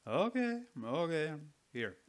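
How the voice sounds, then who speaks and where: Man playing tipsy American pal, higher-pitched male, slow pace, stubborn, boisterous, old-time radio drama. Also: very animated. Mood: defiant. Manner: boisterous, challenging. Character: stubborn tipsy American buddy from New York.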